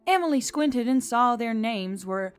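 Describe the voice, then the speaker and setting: A woman's voice: fairly high pitch, lively intonation, measured pace, clear, resonant tone. A woman narrating a scripted fantasy story as an audiobook reader. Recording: music under the voice.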